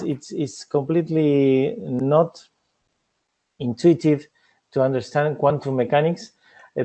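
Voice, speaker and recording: Argentine guy presenting, lots of uhs and restarts, slightly high voice. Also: warm tone, lo-fi sound. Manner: halting, many fillers and restarts. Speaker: cybersecurity researcher presenting a talk. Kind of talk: conference presentation.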